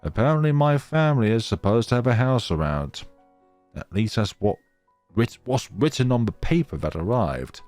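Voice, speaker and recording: A man acts out an earnest, awkward young traveler, the chatty hero of a visual novel. The voice is lively and self-deprecating, recorded dry over faint music. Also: medium pitch, moderate pace, no room sound, natural voice.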